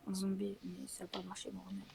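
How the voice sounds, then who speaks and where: A woman improvising a roleplay while playing a video game, speaking informally and haltingly. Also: medium pitch, completely flat delivery, gravelly, crowd noise. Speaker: player in a Fortnite zombie roleplay. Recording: noisy amateur home microphone.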